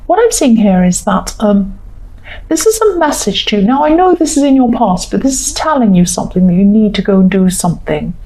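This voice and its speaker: A woman giving a rambling, reassuring tarot reading with a slightly croaky voice. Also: medium pitch, low-quality recording. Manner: gentle, reassuring, rambling with self-corrections. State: croaky, clearing throat. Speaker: tarot reader. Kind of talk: casual tarot reading monologue.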